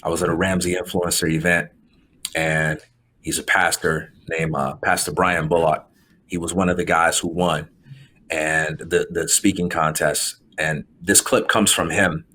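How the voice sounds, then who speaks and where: Low-voiced relaxed podcast host man. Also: measured pace. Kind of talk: casual chat.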